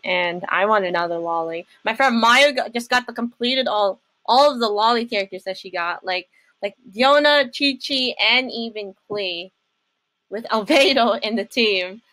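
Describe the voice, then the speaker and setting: A young adult woman chatting casually and haltingly as she hosts a reaction video about games. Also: medium pitch, fairly bright tone, dry recording, low-quality recording.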